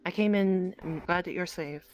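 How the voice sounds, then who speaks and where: A woman roleplaying a gentle, protective dragon woman in a soft, hesitant, improvised chat. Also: on the low side, moderate pace, muffled recording, soft background music. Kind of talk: improvised virtual-reality fantasy roleplay chat.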